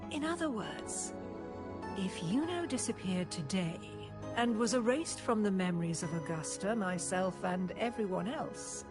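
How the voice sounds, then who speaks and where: An actress playing a stern, composed high priestess in a video game, speaking in formal, measured, deliberate phrases. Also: female voice, expressive, natural voice. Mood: grave, measured. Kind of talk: scripted video game voice acting. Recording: game music under the voice. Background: music.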